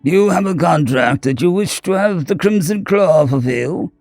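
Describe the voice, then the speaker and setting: A man voicing a stealthy male alien assassin who speaks in a firm, clipped, measured way, over faint music. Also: a little high, expressive, creaky, darkish tone, no echo, clean studio sound, pitched above the performer's own voice. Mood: menacing.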